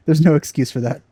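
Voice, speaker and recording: A man gives casual commentary as he plays a game. His voice is dark and relaxed, recorded dry and close to the microphone. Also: on the high side, husky, dry recording. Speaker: let's play host.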